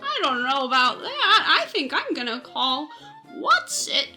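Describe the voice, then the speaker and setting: A woman playing a befuddled, excitable elderly woman who dithers and exclaims in a comic radio sketch. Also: high-pitched, measured pace, soft background music, full character voice. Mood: befuddled, flustered.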